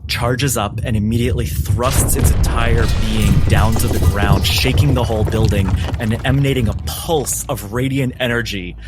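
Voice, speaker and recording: A man's voice: medium pitch, fairly even intonation, moderate pace, few pauses, loud music behind the voice. A man working as game master, narrating a tabletop game in a theatrical, emphatic way.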